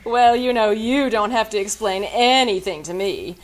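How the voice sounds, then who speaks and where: A woman's voice: medium pitch, normal speed, slight background noise, lightly acted, rough recording. A woman acting a sly, breezy society friend in a radio drama, with a teasing, knowing manner.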